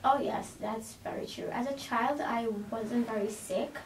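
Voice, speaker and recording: A woman in her thirties from Jamaica, a guest calmly telling her own story in an interview. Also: on the high side, measured pace. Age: 30s. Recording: roomy sound, distant mic, faint noise.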